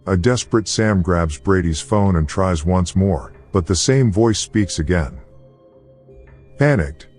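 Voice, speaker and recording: A man's voice: deep voice, a little mellow. A man reading scripted narration as the narrator of a movie recap.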